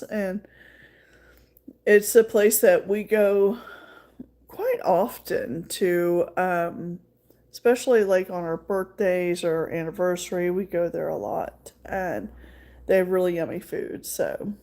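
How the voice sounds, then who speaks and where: A woman's voice: lowish voice, slow pace, warm tone. A woman with a Southern accent, casually chatting with her viewers on a livestream in a rambling way, full of fillers. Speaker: livestream host.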